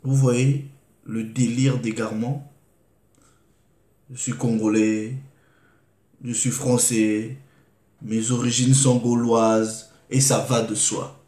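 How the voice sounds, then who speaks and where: A man preaching, reading scripture slowly and deliberately and asking rhetorical questions. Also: mid-range voice, level tone, mellow. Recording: echoey room.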